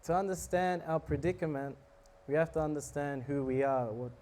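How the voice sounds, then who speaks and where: A man giving a relaxed, joking talk on scripture to a live audience, often asking them questions. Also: a little high, normal speed, a little hiss or hum. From South Africa. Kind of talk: casual sermon to a live audience.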